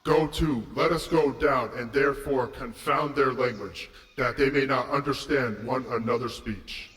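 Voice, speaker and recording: A man's voice: medium pitch, measured pace, fairly bright tone, distant mic, voice pushed up, close to an everyday voice. Man voicing a commanding God in huge reverb. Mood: solemn, commanding. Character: commanding God passing judgement on mankind. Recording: long hall reverb, faint hiss.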